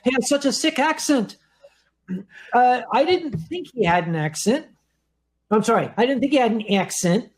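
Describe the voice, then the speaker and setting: A man on a live comedy panel telling a story in a dry, deadpan way. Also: very high voice, moderate pace.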